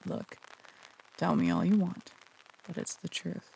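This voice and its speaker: A performer playing a flirtatious, teasing phantom thief in an intimate roleplay audio drama, breathy and coy, with casual, earnest turns. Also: medium pitch, sing-song, unhurried, darkish tone, a little hiss or hum, heavily acted, low-quality recording. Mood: earnest, hesitant.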